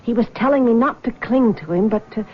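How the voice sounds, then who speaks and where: An American actress playing a troubled, earnest young daughter in a 1970s radio drama, speaking hesitantly and with feeling. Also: female voice, a little high, brisk, lo-fi sound, close to an everyday voice. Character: troubled young woman, guilt-haunted, breaking from her mother. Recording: faintly noisy 1970s radio recording.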